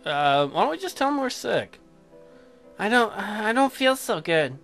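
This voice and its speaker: Weary pregnant game wife, read by a man. High pitch, apologetic, plain delivery, music behind. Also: very animated, a little slow, gravelly, fairly bright tone, full character voice. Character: tired pregnant young wife in a visual novel.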